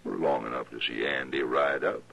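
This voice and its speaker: An actor playing a menacing, possessive American freight boss in a western radio drama, with a rough, raspy voice and a slow drawl. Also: male voice, a bass voice, a bit bright, heavily acted. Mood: accusing, sour. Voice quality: gravelly, raspy. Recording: old radio recording.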